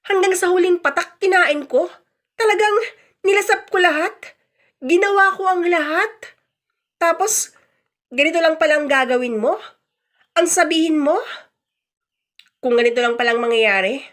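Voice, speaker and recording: Woman acting scheming, high-voiced melodramatic mother-in-law. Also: moderate pace, pauses often. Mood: angry, betrayed. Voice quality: soft, coaxing tone that turns sharp when crossed. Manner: pleading, accusing, emotionally dramatic. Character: Mami, scheming older mother-in-law, wheedling then indignant. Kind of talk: radio drama storytelling.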